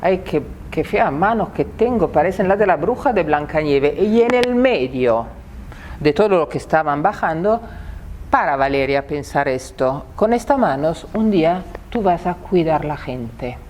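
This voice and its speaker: A woman's voice: low voice, lively intonation, measured pace, a little mellow, a little hiss or hum. A woman in her sixties, a native Italian speaker with an Italian accent, giving a public talk and often repeating words as she searches for them. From Italy.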